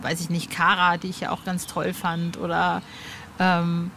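A woman's voice: lowish voice, completely flat delivery, a little slow, a bit bright. A woman with a German accent interviewing a guest in a colloquial, chatty, fan-like way. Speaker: podcast interviewer. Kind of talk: casual interview chat. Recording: clearly noisy background.

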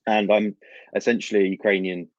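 A young London man with a London accent, a football journalist explaining things in a measured way with fillers and soft laughs, speaking as a guest over a video call. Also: fairly low pitch, little pitch movement, no room sound, lo-fi sound. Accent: London English. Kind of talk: casual interview chat.

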